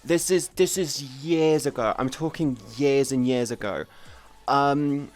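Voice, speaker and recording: A woman's voice: extremely low pitch, slow pace, darkish tone. Casual woman live streamer, music behind. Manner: informal, sweary rambling. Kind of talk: casual chat with stream viewers. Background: background music.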